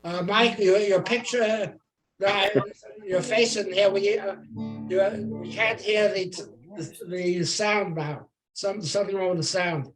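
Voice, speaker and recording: A man hosting an online song circle, chatting casually and haltingly as he organizes people over a computer mic. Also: sits high, steady tone, over music, poor audio. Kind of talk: casual chat on a group video call. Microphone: computer mic on a video call.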